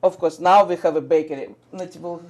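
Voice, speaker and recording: Russian-accented woman, eager, halting English. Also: fairly low pitch, darkish tone. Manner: enthusiastic, halting non-native English with fillers. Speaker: shop owner guest. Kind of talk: casual chat.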